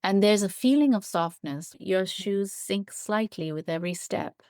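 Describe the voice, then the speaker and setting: A woman's voice: mid-range voice, lively intonation, measured pace, a little mellow, no room sound, studio quality. A woman speaking as an interview guest, explaining things in a thoughtful, descriptive and earnest conversational way, repeating points for emphasis. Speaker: interview guest, biologist and writer.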